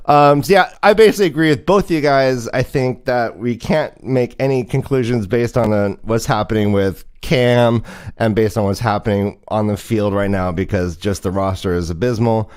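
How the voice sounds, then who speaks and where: Male sports livestream host, clear mid-pitched voice, animated, joking, informal. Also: moderate pace. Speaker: host of a fantasy football livestream. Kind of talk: casual sports chat.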